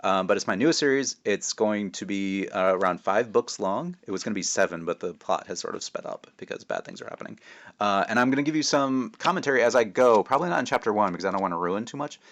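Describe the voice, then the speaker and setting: A man's voice: fairly low pitch, a bit bright. A man who writes children's books, speaking casually and narrating his own story aloud. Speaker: children's author reading his own book.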